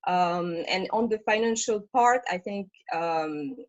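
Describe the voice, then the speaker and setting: A woman's voice: on the low side, slow pace, no room sound. Woman from senegal on a webinar, lots of uh and um, muffled dry call audio. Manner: hesitant, with frequent fillers. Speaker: webinar panelist, managing director. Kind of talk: spontaneous webinar talk.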